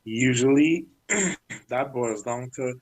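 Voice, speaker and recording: A man working as a music manager, chatting candidly in an interview and often clearing his throat. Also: medium pitch, fairly even intonation, slow, darkish tone, low-quality recording. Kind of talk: casual interview talk.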